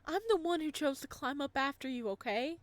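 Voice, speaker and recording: Woman acting young ghost man, visual novel, earnest, direct, searching, higher voice. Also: a little fast, no echo, close to an everyday voice. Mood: reassuring. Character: young male ghost, searching, forgiving, without memories. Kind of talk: visual novel dialogue read aloud.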